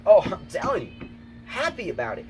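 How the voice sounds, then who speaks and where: A man co-hosting a casual tennis chat outdoors, emphatic and repetitive, talking excitedly. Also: high-pitched, normal speed. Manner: emphatic, repeats himself, excitable. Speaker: tennis channel co-host, coach. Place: outdoors, windy. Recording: noisy outdoor recording, wind.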